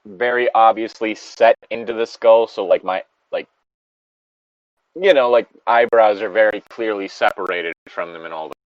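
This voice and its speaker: A man's voice: lowish voice, normal speed, no room sound, muffled recording. A man giving casual, rambling and blunt commentary on a story, with frequent swearing. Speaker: podcast host reading and commenting on a story.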